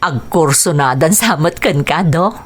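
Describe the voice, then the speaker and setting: A woman's voice: deep voice, expressive, a little slow, husky, full character voice. A woman with a Filipino accent acting as a playful hospital nurse in a radio drama. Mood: teasing. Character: Nurse Ellie, playful, teasing female hospital nurse.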